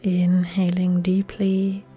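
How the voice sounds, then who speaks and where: A woman yoga instructor leading a guided relaxation meditation in a soft, breathy, soothing delivery with long pauses. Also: fairly low pitch, completely flat delivery, highs cut off. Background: soft music.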